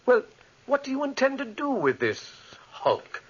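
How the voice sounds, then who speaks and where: An actor playing an older, learned, moralizing professor in a theatrical 1940s radio horror style. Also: male voice, extremely high pitch, huge pitch swings, unhurried, background noise, exaggerated voice. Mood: wry, disapproving. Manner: theatrical, emphatic. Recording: dull lo-fi 1940s radio recording.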